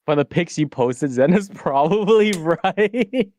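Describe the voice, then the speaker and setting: A man co-hosting a stream, teasing his partner with quick comebacks in casual banter. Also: sits high, expressive, darkish tone. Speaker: streamer co-host.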